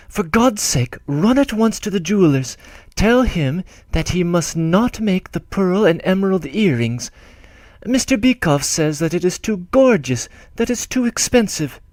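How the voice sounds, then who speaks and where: An anxious young bride-to-be pleads in a raised, lively voice that rises and dips with worry, as a male audiobook reader puts her on. The sound is close and dry, with no room around it. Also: sits high, dry recording, voice pushed up. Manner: hurried, fretful letter-reading. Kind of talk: audiobook letter reading.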